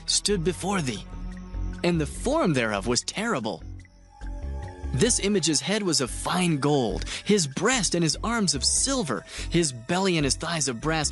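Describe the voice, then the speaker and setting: An actor playing a devout, solemn prophet in a dramatized scripture reading, grave and oratorical, with music underneath. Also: male voice, medium pitch. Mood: dramatic, intense. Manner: grave, oratorical, measured phrasing.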